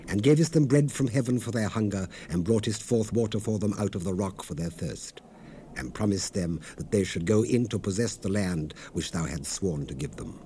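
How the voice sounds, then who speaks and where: A man narrating scripture in a dramatized reading, grave and declamatory, with measured, emphatic phrasing. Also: lowish voice, dark tone. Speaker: narrator of a dramatized Bible recording. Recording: noisy 1970s cassette rip. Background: ambient sound effects, tape noise.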